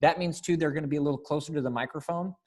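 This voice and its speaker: A man's voice: on the high side, racing, a little mellow. A man in his thirties coaching a group over a video call in a casual, encouraging way, full of praise, as director of a rehearsal. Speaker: director and narrator of a readers theater rehearsal. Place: indoor room on a video call. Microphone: headset earphones on a video call.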